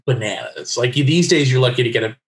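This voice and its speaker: Middle-aged American male interviewee, informative and discursive, mid-range pitch, roomy home recording. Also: animated, fairly quick. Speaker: interview guest, playwright. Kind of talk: casual explanatory interview talk. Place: home room lined with bookshelves. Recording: roomy home video call.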